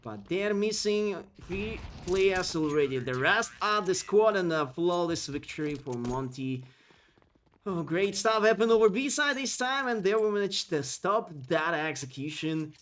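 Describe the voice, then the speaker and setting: A man casting an esports match in non-native English, giving fast play-by-play that climbs during the action and chatting with viewers between rounds. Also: slight background noise. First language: not English. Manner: rapid, excitable calls that climb in pitch during action. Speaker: esports caster. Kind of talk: solo play-by-play commentary with chat asides.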